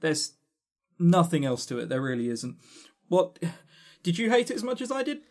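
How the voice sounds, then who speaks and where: Male podcast co-host, fairly high-pitched, wide-ranging delivery, animated and opinionated, casual halting chat.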